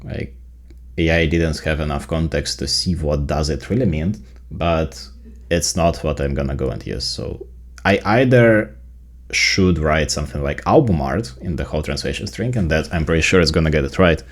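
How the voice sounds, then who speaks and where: Very deep-voiced Ukrainian man explaining tech. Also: animated, normal speed. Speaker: tech video creator. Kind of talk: casual software tutorial narration.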